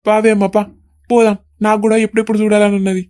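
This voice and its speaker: A woman's voice: medium pitch, flat delivery, rapid-fire, a little mellow, pitched below the performer's own voice, natural voice. A woman voicing an earnest, anxious young adult son in a cartoon, with a Telangana Telugu accent. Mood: happy.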